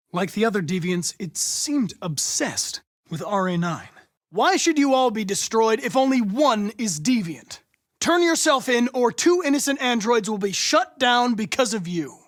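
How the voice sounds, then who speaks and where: An American man playing a polite, analytical young android detective in a video game, earnest and determined, with a precise, formal delivery. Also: high-pitched. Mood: pressing.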